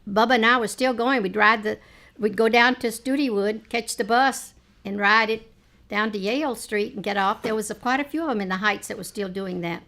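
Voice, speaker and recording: A woman in her late seventies, reminiscing in a family oral history chat, rambling with frequent pauses and fillers. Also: a little high, a bit bright. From Texas, United States. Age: 70s. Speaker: interviewee, mother.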